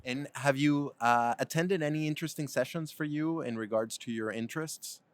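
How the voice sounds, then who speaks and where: A man's voice: medium pitch, moderate pace, no room sound. Male conference host, easy chatty interviewer, dry close recording, mid-range voice. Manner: friendly, chatty, with fillers like "you know". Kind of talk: conversational interviewing. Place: convention center floor.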